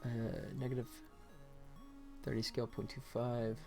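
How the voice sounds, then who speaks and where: A man's voice: mid-range voice, little pitch movement, normal speed, darkish tone, soft background music. A man livestreaming as he works, thinking aloud in casual chat, sometimes muttering to himself. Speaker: livestream host, game animator.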